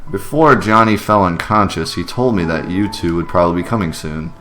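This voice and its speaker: A man voicing a gentle, resigned widowed mother who works as a caretaker in a video game. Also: low-pitched, fairly quick. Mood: wistful. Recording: music behind the voice.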